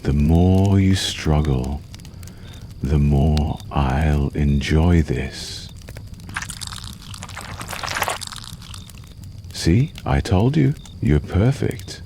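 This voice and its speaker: Guy doing a possessive vampire boyfriend for asmr, super deep and unhurried, tender but menacing. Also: animated, noisy background, full character voice. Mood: possessive, coaxing. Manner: slow, soothing, menacingly tender, lingering pauses. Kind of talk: ASMR roleplay, intimate storytelling.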